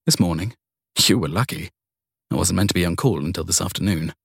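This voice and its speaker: A man's voice: deep voice, a little fast, vocal fry, husky, no room sound, heavily acted. A man voicing a wry male police detective in his late forties in an audiobook, dry and matter-of-fact. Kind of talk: audiobook character dialogue.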